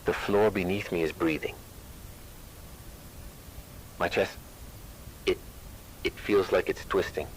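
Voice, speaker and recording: Male actor as trapped explorer, low-pitched, scared, halting, analog horror, faintly noisy tape with rumble. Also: steady tone. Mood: frightened, disoriented. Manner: halting, broken phrases. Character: frightened trapped male explorer lost in shifting tunnels.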